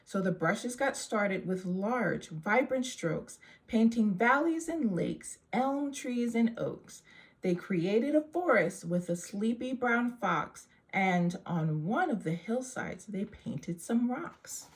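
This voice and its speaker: A woman reading a rhyming children's story aloud in a measured, verse-following delivery. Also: medium pitch, animated. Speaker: storybook reader.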